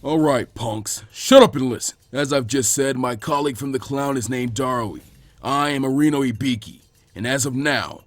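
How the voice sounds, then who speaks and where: Man acting gruff, domineering ninja proctor. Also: medium pitch, normal speed, dry recording, close to an everyday voice. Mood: commanding. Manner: commanding, sneering.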